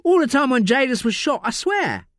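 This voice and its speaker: Give me a shrill, agitated young woman suspect, put on by a male narrator, dry close sound. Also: sing-song, normal speed, a bit bright, no room sound, exaggerated voice.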